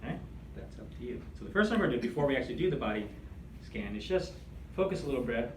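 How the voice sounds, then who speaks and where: A man leading a meditation class, speaking in a gentle, soothing, conversational way. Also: medium pitch, lively intonation, racing. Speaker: meditation instructor. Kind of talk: guided meditation instruction. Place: room with attendees seated in chairs. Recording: echoey room, distant mic, background noise.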